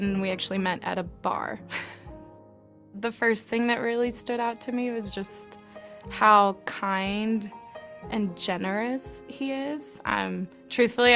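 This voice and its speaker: A woman's voice: medium pitch, fairly bright tone. A woman from Colombia, an entrepreneur, telling her personal story in a documentary interview. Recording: music bed under voice.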